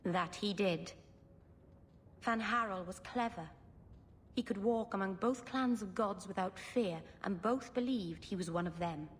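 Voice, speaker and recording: A woman's voice: mid-range voice, a little slow, close to an everyday voice. An actress playing an earnest female elf storyteller telling myths in a fantasy game. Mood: solemn storytelling. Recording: long reverb, clear background ambience.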